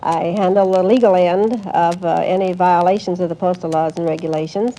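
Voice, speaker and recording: A woman's voice: fairly low pitch, fairly even intonation, brisk, warm tone, rough recording. A woman answering a quiz host as a contestant in a precise, matter-of-fact way. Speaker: contestant, postal official. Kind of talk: question-and-answer interview. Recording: old broadcast, faint noise and audience.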